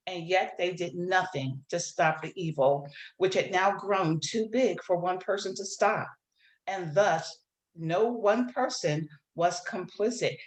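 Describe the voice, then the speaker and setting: A woman with a fairly low voice speaks as a reader at a literary event. Her delivery is measured and earnest, like someone sharing a serious book aloud. The sound is roomy, with clear echo around her voice. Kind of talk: introducing and reading aloud a nonfiction book excerpt.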